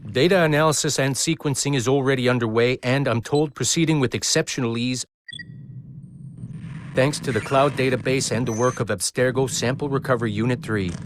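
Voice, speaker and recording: An actor playing a detached corporate agent in a game, giving a formal, clinical report. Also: male voice, medium pitch, brisk, lightly acted. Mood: clinical, matter-of-fact. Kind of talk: scripted formal report narration.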